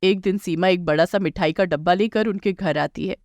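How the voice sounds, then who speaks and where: A woman's voice: fairly low pitch, moderate pace, darkish tone, no echo, clean studio sound. A woman narrating a scripted moral story as a storyteller.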